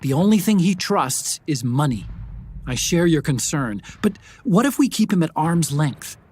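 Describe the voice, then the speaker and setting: A man playing an earnest, analytical adviser giving a briefing in a video game, articulate and measured. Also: on the high side, very animated, a little mellow, slight background noise. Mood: cautious, persuasive. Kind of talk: video game cutscene acting.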